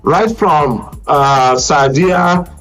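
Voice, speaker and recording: A man's voice: fairly high pitch, very slow, fairly bright tone, faint music behind. A man with a Nigerian accent hosting a live studio current affairs discussion, explaining at length and repeating phrases for emphasis. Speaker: radio and TV current affairs host. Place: broadcast studio.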